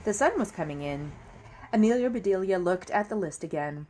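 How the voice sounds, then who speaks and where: A woman reading children's books aloud in an expressive way and chatting to listeners about the pages and pictures. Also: medium pitch, a little fast, poor audio. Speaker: reader of children's books for an online series. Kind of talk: animated read-aloud storytelling with comments to viewers.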